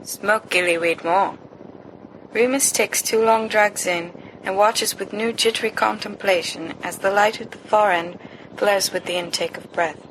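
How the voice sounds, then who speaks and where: Female volunteer audiobook reader, expressive fiction narration, middle-pitched, noisy homemade recording. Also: normal speed. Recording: noisy amateur home recording.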